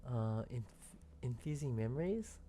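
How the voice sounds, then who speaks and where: A woman's voice: rumbling low, animated, unhurried, mellow, slight background noise. A woman doing casual gameplay commentary, thinking aloud with puzzled reactions and exclamations. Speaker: game streamer. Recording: game music behind voice.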